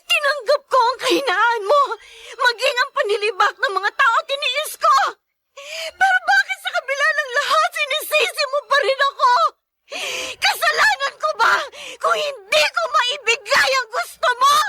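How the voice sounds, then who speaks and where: Long-suffering young wife for radio drama; high, tearful, pleading. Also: female voice, moderate pace, bright, forceful tone, full character voice. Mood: tearful reproach. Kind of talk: 1980s radio drama acting.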